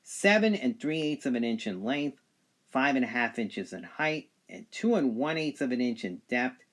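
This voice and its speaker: A man giving an unscripted product review, speaking haltingly in short phrases with pauses between them. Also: medium pitch, animated, fairly bright tone. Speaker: gadget reviewer. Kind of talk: unscripted product review, describing features on camera.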